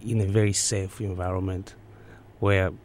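A man's voice: low voice, flat delivery, moderate pace, darkish tone, a little hiss or hum. A man from Eastern Congo with a Central African accent, recounting personal memories as an interview guest.

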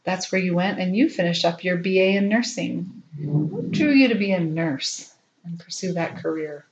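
A woman interviewer asks attentive, encouraging questions in a slightly low voice. The sound is roomy and a bit muffled. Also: normal speed.

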